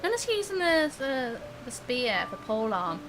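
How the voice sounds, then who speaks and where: A woman's voice: sits high, very animated, moderate pace, fairly bright tone, slight background noise, low-quality recording. A woman streaming a game live with casual, chatty commentary and light reactions, game music faintly behind.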